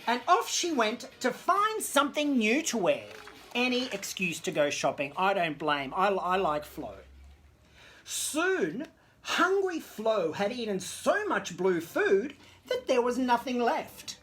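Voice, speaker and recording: Theatrical woman story host, very animated pitch. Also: slow. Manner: theatrical, camp, exclamatory. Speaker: children's story time host. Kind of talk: animated storybook reading to camera. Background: disco music.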